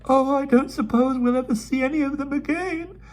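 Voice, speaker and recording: A man's voice: medium pitch, moderate pace, dark tone, voice pushed up, lightly acted. A man voicing a timid, gloomy young girl who talks in a plaintive, self-pitying way in an audiobook. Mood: sobbing. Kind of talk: audiobook character voice.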